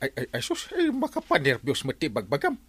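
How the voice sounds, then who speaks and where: A jittery male village captain in an Ilocano-accented radio comedy. He stammers and blusters, his bright voice swinging wildly up and down in a dry, close recording. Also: fairly high pitch, huge pitch swings, fairly quick, slightly rough, no echo, heavily acted. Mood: nervous. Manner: stammering, blustering, full of interjections. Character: excitable, easily rattled, cowardly village captain. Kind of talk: radio comedy drama acting.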